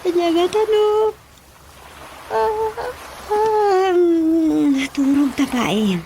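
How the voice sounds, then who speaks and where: A woman's voice: very high-pitched, big pitch swings, extremely slow, noisy background, heavily acted. A woman playing a fretful, teasing village wife in an Ilocano radio comedy drama, drawn out and dramatic. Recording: radio drama with prominent music behind.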